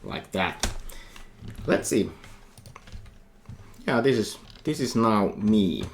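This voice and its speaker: A middle-aged man with a Finnish accent, a university lecturer talking through a coding tutorial while he types, thinking aloud in halting phrases. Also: fairly low pitch, very animated. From Finland. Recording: faint noise with keyboard typing.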